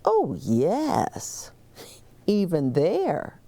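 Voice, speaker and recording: A woman plays a tender storybook mom comforting young children. She speaks very slowly and patiently, in a mellow, soothing tone with gently varied melody. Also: fairly low pitch, wildly swooping pitch, creaky, exaggerated voice. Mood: reassuring. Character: gentle, reassuring storybook mother of young twins.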